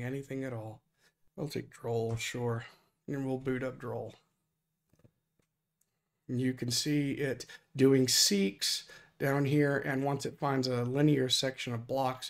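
A hoarse man recovering from illness, casually walking through a live hardware demo at his desk with lots of fillers. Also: mid-range voice. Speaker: open-source hardware project lead. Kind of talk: casual screen-recorded tech demo. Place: home desk.

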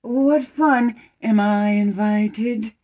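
A gentle, simple storybook bear, acted by a woman talks slowly and mildly. He sounds unhurried and easygoing. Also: medium pitch. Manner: slow and mild.

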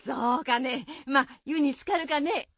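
A hesitant village woman in a radio folktale. Her high voice is folksy and questioning, recorded dry. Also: measured pace, a bit bright, no echo. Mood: doubtful. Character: hesitant rustic village woman visiting hot springs. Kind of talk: radio drama acting.

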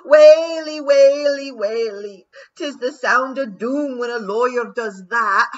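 A woman voicing a terrified little male pixie with a put-on Scottish accent, wailing high and drawn-out. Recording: dull lo-fi recording.

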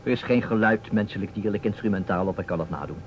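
A man acting a self-assured, slyly teasing detective, measured and deliberate with dramatic pauses. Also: on the low side, close to an everyday voice. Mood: storytelling. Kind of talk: old Dutch radio play acting. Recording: muffled old radio recording, faint noise.

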